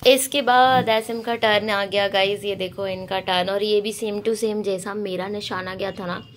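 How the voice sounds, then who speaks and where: A young woman, hoarse from a cold, chatting to viewers in a casual vlog voice-over. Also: medium pitch, fairly even intonation, a little slow, slight background noise. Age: young adult. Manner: chatty, addressing viewers directly. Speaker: vlogger and dance choreographer.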